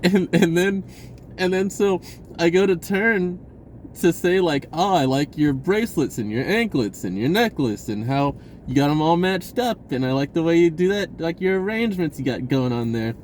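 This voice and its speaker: A man's voice: a little high, expressive, moderate pace, darkish tone, slight background noise. A man telling a personal story in a casual, rambling, slangy way and acting out bits of dialogue. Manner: informal slangy speech, acts out dialogue. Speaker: vlogger recounting personal experiences.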